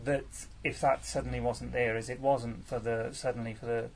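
Man, 30s, poet from Wales, halting live Q&A, self-deprecating, mid pitch. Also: flat delivery, vocal fry. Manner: hesitant, many fillers and restarts, self-deprecating. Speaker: featured poet answering audience questions. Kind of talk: casual live Q&A at a poetry salon.

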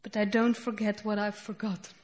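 A woman's voice: mid-range voice, flat delivery, a little mellow. Dutch-accented woman reading poetry. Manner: slow, deliberate reading with halting English asides. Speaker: poet. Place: festival stage before an audience.

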